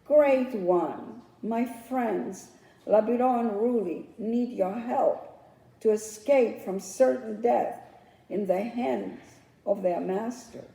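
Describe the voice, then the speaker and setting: Woman voicing an earnest storybook bird, raised pitch, echoey. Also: slow pace, gravelly, dark tone. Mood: respectful pleading. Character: helpful female bird, earnest messenger to young goats. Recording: roomy echo, distant microphone.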